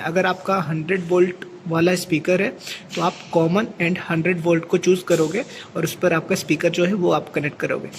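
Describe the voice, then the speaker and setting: A man with an Indian accent giving a hands-on, step-by-step equipment tutorial as a technician, often adding a short check-in tag. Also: sits high. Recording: clearly noisy amateur recording with ambient sound.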